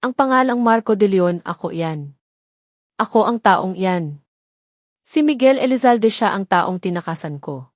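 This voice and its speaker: A woman's voice: mid-range voice, animated, unhurried, long pauses, no room sound, natural voice. A guarded runaway heir, a man in love acted out by a woman narrator, speaks with earnest intensity. The romance-story recording is dry and close. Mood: desperate, earnest. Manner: cold commands, earnest pleading.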